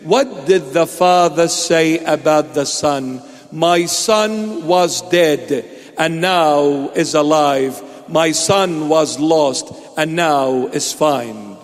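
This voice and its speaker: Slow, high-voiced preacher in a reverberant hall. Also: male voice. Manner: emphatic, repetitive rhetorical questions, playful asides. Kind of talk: sermon. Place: church hall. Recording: long reverb of a large hall.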